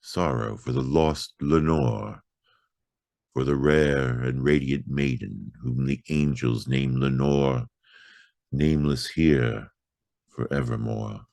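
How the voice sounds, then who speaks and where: A man giving a theatrical poetry reading, swinging from loud outbursts to hushed whispers. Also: a bass voice, takes it slowly, creaky, no room sound, clean studio sound. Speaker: poetry reader.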